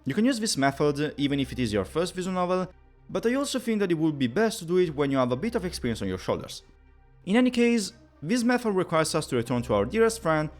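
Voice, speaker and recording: A man hosting a tutorial, narrating with playful, mock-formal phrasing. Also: fairly high pitch. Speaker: video host.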